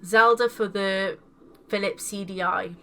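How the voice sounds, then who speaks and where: A woman's voice: medium pitch, steady tone, unhurried, a bit bright. A woman casually showing off her new video game pickups, sounding congested from a cold and repeating words with enthusiasm. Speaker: gaming video host.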